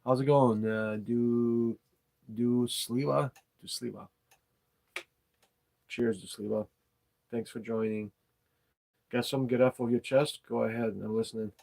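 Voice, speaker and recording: A man's voice: medium pitch, steady tone, a little slow, vocal fry, long pauses, dark tone. A man hosting an unscripted live chat, talking frankly and rambling in English, which is not his first language. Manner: rambling, frank, swears casually. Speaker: livestream host.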